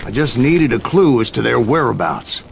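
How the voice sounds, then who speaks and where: An actor playing a grizzled older bounty hunter telling his boastful tale in a wry, unhurried American Western drawl. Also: medium pitch, lively intonation, creaky, a little hiss or hum. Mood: gritty, resolute. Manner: folksy, wry, unhurried storytelling. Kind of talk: dramatic first-person game narration. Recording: loud music under the voice.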